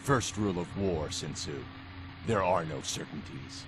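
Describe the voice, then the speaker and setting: An actor playing a grim, determined masked vigilante detective in a video game, terse and stern. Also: male voice, low voice, animated, unhurried, background noise. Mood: defiant. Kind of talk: video game cutscene acting. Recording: loud music over the voice.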